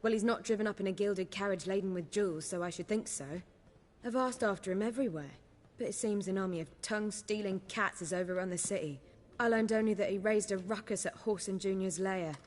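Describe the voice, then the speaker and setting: An actress playing a sharp-tongued, worldly woman in a video game, with a sardonic, dry manner. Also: mid-range voice, fairly quick, long reverb tail, lightly acted.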